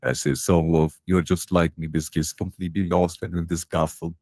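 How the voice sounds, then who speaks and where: Bloke doing a canteen tea lady, gossipy, real deep voice, dry sound. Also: little pitch movement, normal speed, darkish tone, dry recording. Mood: gossiping. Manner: gossipy, chatty, blunt slang.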